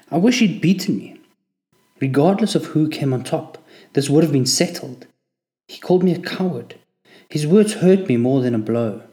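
A man's voice: a little high, mellow, lightly acted. A man voicing a bitter, worn-out young soldier. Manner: strained, wounded outbursts. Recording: echoey room.

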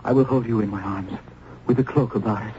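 A man's voice: lowish voice, fast talker, natural voice. An actor playing a compassionate, earnest young clergyman of colonial times, formal and theatrical in a radio drama. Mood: tender, compassionate. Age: young adult. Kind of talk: old-time radio acting. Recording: dull, hissy old radio recording.

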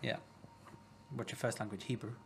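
A man co-hosting a podcast, chatting casually about a book, teasing his partner and firing off quick questions. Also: on the low side, fairly even intonation, creaky, slightly rough. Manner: teasing, quick questions, frequent short agreements. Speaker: podcast co-host.

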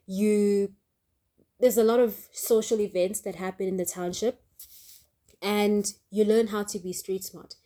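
South african lady podcaster, chatty and upbeat, lots of "right?" and "um", mid pitch, throws in township slang. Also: fairly even intonation, a little slow, warm tone. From Soweto, Johannesburg, South Africa. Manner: chatty, upbeat, often asks "right?" and adds "um". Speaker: podcast host. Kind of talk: casual solo podcast chat.

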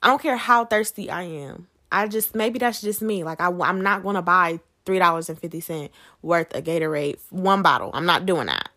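A woman's voice: fairly low pitch, moderate pace, pushed and bright. A woman hosting a podcast on her own, talking in a casual, conversational way and putting questions to her listeners. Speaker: podcast host. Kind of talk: casual solo podcast chat.

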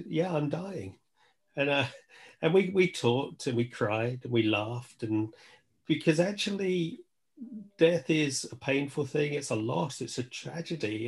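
A man's voice: mid-range voice, husky. Earnest man in reflective conversation. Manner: earnest, repeats phrases for emphasis. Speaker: podcast guest.

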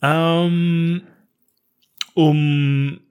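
A man's voice: on the high side, level tone, very slow, darkish tone, smooth. A man hosting a podcast in loose, colloquial, unscripted chat with a teasing touch. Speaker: podcast host.

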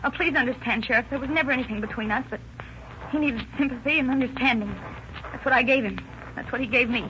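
A woman's voice: sits high, fast talker, natural voice. An actress playing a frightened woman who stammers and rises to panic, in a 1930s radio drama. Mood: pleading. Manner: trembling, stammering, rising to panic. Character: frightened woman, a suspect's wife. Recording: dull old radio recording with hiss.

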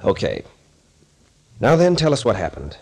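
An actor playing a gruff, shrewd captain who takes charge, dry and commanding, in an old radio thriller. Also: male voice, on the low side, wildly swooping pitch, moderate pace, full character voice. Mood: calm, probing.

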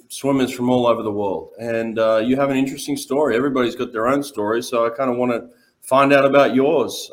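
A man hosting a podcast who asks loose, casual questions that stumble over fillers and restarts. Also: medium pitch. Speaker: podcast host. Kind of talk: casual interview.

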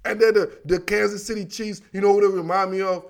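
High-pitched, slangy American sports commentator guy. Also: a little fast. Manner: animated, emphatic, repeats himself, addresses listeners as dog and baby. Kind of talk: casual, slangy football commentary monologue.

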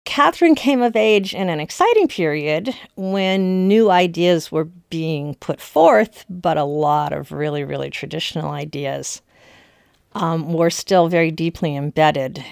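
A woman speaks as an expert historian in a conversational interview. Her voice is very low and creaky, recorded close and dry, and she stresses key words as she explains. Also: lively intonation, measured pace, a little mellow, no echo.

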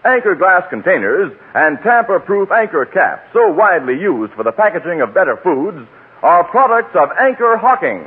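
High, animated American radio announcer man. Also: normal speed, breathy edge. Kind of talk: 1940s scripted radio announcing. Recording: tinny 1940s radio with faint music.

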